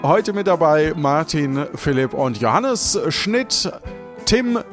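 A man hosting a podcast, speaking casually and unscripted, sometimes halting and repeating words. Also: on the high side, sing-song. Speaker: podcast host. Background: background music.

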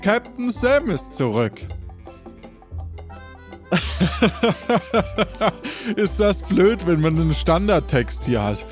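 Dude game mastering an audio adventure, dramatic, very animated pitch, slow pace, faint music under. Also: male voice. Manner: playful, dramatic delivery. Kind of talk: theatrical narration of improvised audio adventure.

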